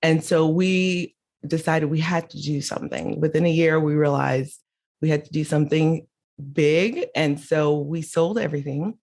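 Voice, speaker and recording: A woman's voice: low voice, normal speed, a little mellow. A middle-aged woman from Haiti, raised in Miami, telling her story as a podcast guest, candid and laughing as she goes. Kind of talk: casual interview storytelling.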